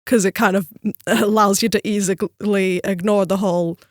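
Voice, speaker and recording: A woman's voice: mid-range voice, fairly even intonation, normal speed, no room sound, studio quality. A woman giving her opinions informally as a panelist in a casual podcast chat. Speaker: podcast panelist discussing films.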